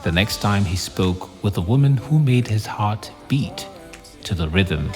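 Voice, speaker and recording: An actor narrating an audio drama as a dramatic storyteller. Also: male voice, fairly low pitch, lively intonation, measured pace. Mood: romantic, dramatic. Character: omniscient dramatic male storyteller. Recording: long reverb, faint music bed.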